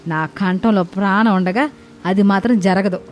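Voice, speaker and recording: A woman's voice: mid-range voice, fairly even intonation, normal speed, darkish tone, a little hiss or hum, lightly acted. Woman acting a wailing, cranky old mother-in-law. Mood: indignant scolding. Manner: wailing, complaining, theatrical. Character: cranky, melodramatic old mother-in-law who wails and scolds. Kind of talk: animated moral story acting.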